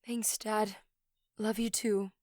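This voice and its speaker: A woman's voice: medium pitch, level tone, slow, a little mellow, slight background noise, natural voice. A woman playing an anxious, depressed teenage girl in an audio drama, hesitant and weary. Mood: subdued.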